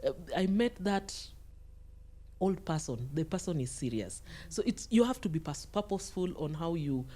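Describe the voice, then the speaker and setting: A woman's voice: lowish voice, lively intonation, normal speed, mellow. A middle-aged woman speaking as a podcast guest, giving conversational advice emphatically and repeating phrases for stress.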